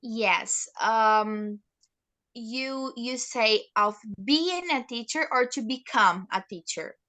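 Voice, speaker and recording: A woman's voice: on the high side, slow pace, sharp tone. A nineteen-year-old woman from Brazil with a Brazilian Portuguese accent, a teacher answering interview questions thoughtfully with frequent restarts. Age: teens.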